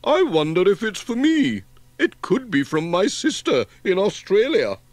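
A man's voice: high voice, sing-song, a little slow, no echo, voice pushed up. A man voicing a pious, kindly village vicar in a children's storybook. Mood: pious, hopeful.

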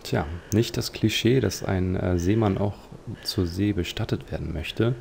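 Low, creaky-voiced man commentating. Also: a little slow, darkish tone, a little hiss or hum. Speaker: let's player. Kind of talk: game commentary.

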